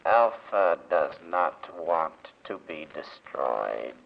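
Very slow, deep robot. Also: male voice, vocal fry, exaggerated voice. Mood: cold, defiant. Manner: flat, halting speech, refers to itself in third person. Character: lumbering robot man, killer, cold, proud, literal. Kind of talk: 1940s radio acting. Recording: dull old radio recording.

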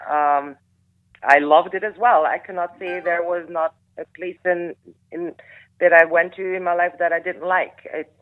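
A middle-aged woman from Belgium who speaks with a Flemish accent. She chats as a radio guest and often pauses on "uh" and "um". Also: fairly low pitch. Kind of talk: casual interview chat. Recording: tinny phone line.

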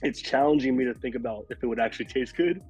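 A man with a raspy, hoarse voice from a cold, rambling casually as a podcast guest with many fillers. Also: mid-range voice, fast talker, darkish tone, faint music behind, low-quality recording. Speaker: podcast guest, food illustrator.

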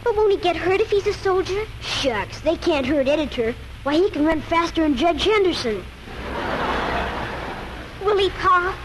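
A little farm girl, speaks in a very high, innocent voice, pressing her questions earnestly. It is 1940s radio drama with faint noise on the old recording. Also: lively intonation, moderate pace. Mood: curious, worried.

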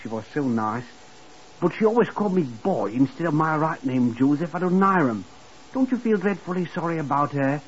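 Chatty, eager hotel lift boy, lively. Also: fairly high pitch, normal speed, breathy edge. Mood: helpful, earnest. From Blackpool, Lancashire, England. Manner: talkative, deferential, rambling. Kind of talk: 1950s radio drama acting. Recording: muffled, hissy 1950s radio recording.

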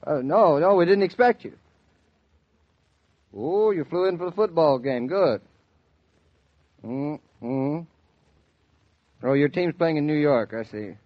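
An actor playing a patient, affable young husband with an American accent in a 1940s radio drama. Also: male voice, on the high side, lively intonation, slow pace, long pauses. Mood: polite, flustered. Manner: explanatory, hesitant, conversational. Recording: dull, lo-fi 1940s radio recording.

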